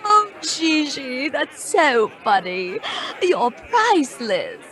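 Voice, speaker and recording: A woman's voice: high-pitched, sharp tone, full character voice, rough recording. An actress playing a nosy, catty society woman with an affected, effusive drawl in a radio drama. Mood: shrieking amusement.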